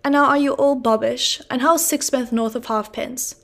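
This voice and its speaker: A woman voicing a pompous, loud middle-aged uncle who blusters with self-importance. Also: high-pitched, fairly even intonation, normal speed.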